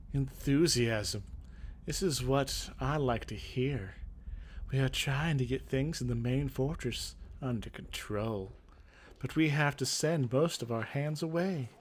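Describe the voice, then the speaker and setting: A man voicing a stern, weary female senior advisor in a fantasy game, speaking in a dry, measured way. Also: medium pitch, a little hiss or hum, close to an everyday voice.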